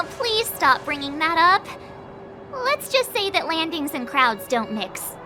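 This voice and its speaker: A woman's voice: very high-pitched, very animated, slow pace, over music, exaggerated voice. A woman voicing a perky, cocky teenage girl adventurer in an anime-style game, chirpy, breezy and teasing. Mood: embarrassed, protesting.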